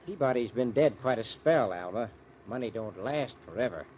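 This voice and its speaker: An actor with an American accent playing a folksy, scheming small-town sheriff in an old-time radio drama, with a rustic drawl. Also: male voice, medium pitch, animated, moderate pace, lightly acted. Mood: sly, insinuating. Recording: muffled, noisy old radio recording.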